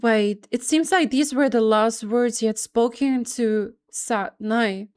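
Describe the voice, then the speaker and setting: A woman's voice: fairly high pitch, takes it slowly, darkish tone, clean tone. A woman game streamer giving casual playthrough commentary and reading dialogue aloud, musing and reactive.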